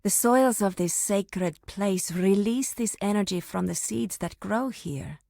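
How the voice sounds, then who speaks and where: A woman playing a reverent, solemn druid of an ancient tribe in a fantasy video game, speaking formally with deliberate pauses. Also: medium pitch, vocal fry, mellow, no echo, clean studio sound. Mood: solemn, contemplative.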